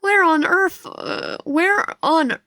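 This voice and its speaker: A woman's voice: extremely high pitch, slow pace, pushed and bright, dry recording, studio quality, pitched above the performer's own voice, exaggerated voice. A woman voicing a bewildered, sceptical dreamer in a visual novel, with an incredulous manner. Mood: baffled. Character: bewildered, sceptical dreamer pulled into a fantasy world. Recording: music behind the voice.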